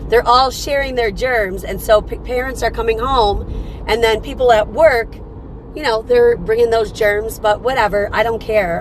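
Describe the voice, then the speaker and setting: A woman hosting a casual morning livestream, chatty and upbeat, her voice slightly raspy from a cold. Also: mid-range voice, slight background noise, poor audio. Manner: upbeat, chatty, greets viewers by name. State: fighting off a cold. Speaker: livestream host.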